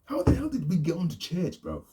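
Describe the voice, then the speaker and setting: A young man, talking in a rambling, casual vlog monologue and deliberately pushing his voice deeper. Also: wildly swooping pitch, mellow, a little hiss or hum. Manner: deliberately deepened, laid-back voice. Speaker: vlogger.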